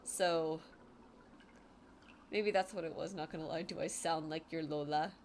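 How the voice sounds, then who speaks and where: A woman's voice: sits low, steady tone, measured pace, darkish tone, slight background noise. Chatty woman streamer, light Filipino accent. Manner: chatty, self-deprecating, laughs often, reads chat aloud. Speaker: game streamer. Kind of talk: casual live-stream chat while gaming. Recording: game music under the voice. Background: game music.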